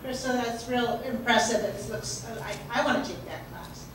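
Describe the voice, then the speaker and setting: A woman in the audience asks a friendly, enthusiastic question. Her voice is fairly high. She sounds distant and very echoey, with clear background noise. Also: normal speed. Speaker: audience member asking a question. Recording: echoey hall, distant, noisy.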